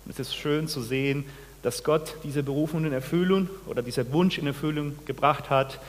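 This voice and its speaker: A man in his forties from Peru, a pastor giving a sermon, speaking haltingly with many restarts and questions to the congregation. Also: on the high side, long reverb tail. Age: 40s. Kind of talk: sermon with personal testimony. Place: church.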